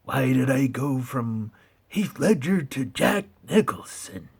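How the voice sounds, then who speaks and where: A man doing an unhinged villain clown, slow taunting drawl, raspy, lively swoops. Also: mid-range voice, voice pushed up, full character voice.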